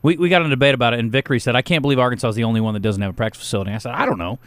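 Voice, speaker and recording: A middle-aged man hosting a sports talk radio show, opinionated and joking, with a loud voice. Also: medium pitch, racing, no room sound. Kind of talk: casual sports radio banter.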